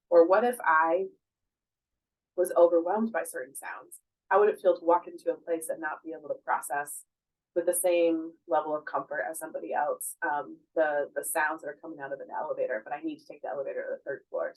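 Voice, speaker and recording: A woman giving an academic conference presentation, hoarse from a cold, talking in a measured way with frequent fillers and questions to the audience. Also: on the low side, poor audio.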